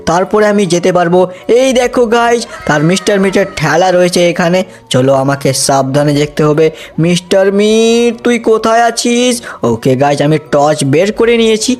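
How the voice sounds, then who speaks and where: A young man, dramatically narrating a cartoon story and talking to viewers. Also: sits high. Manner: dramatic, addresses viewers as guys. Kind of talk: excited cartoon game narration.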